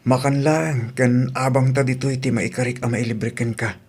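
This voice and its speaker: A man with an Ilocano accent playing an earnest, gentle young student boyfriend in an audio drama. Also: mid-range voice, steady tone, normal speed, slightly rough.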